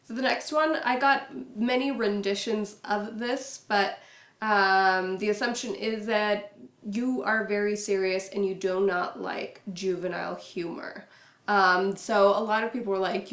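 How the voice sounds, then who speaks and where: Soft-spoken young woman, echoey room. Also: mid-range voice. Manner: soft-spoken, reserved, many fillers. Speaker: YouTuber. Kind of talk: casual chat to camera.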